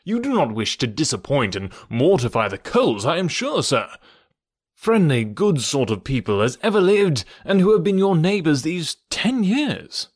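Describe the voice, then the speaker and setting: A man voicing a sociable, persuasive country gentleman in a classic novel, genial, emphatic and insistent. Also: fairly high pitch, very animated, moderate pace, no room sound, clean studio sound, pitched above the performer's own voice. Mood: earnest persuasion. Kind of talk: audiobook character voice from a classic novel.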